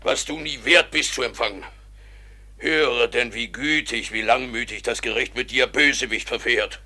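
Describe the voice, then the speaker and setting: An actor playing a pompous priest sent by the authorities, scolding and preaching in declamatory classical radio drama style. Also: male voice, mid-range voice, normal speed, bright, forceful tone. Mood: stern, condescending.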